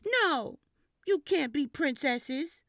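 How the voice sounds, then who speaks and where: A woman voicing a cranky little girl on a bad day, shrill and shouty in her protests. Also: lively intonation, slow, no echo, studio quality, exaggerated voice. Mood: shouty whining.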